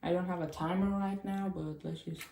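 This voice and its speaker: A woman whose first language is Chinese, chatting casually and haltingly through a cube unboxing with small grammar slips. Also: lowish voice, fairly even intonation, vocal fry, warm tone. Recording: echoey room with clicking noise.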